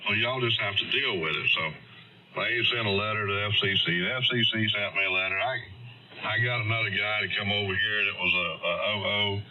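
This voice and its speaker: A man's voice: on the low side, fairly even intonation, fairly quick, bright, forceful tone. A middle-aged or older man with a Southern US accent telling stories casually on ham radio in a plain-spoken, folksy way. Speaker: amateur radio operator. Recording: dull, noisy radio transmission.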